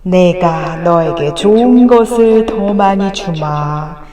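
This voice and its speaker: Slow, echoing divine speaker, acted by a woman. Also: lowish voice, a crowd in the background. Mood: solemn, commanding. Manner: slow, solemn, declarative. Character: God, solemn, commanding, benevolent divine speaker. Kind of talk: children's Bible storytelling.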